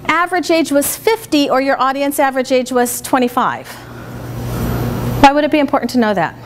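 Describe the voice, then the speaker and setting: A woman with a big voice teaching a workshop to a small group, chatty and lively and quick to laugh, speaking in a meeting room without a microphone. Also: high voice, slight background noise. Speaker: speaker trainer.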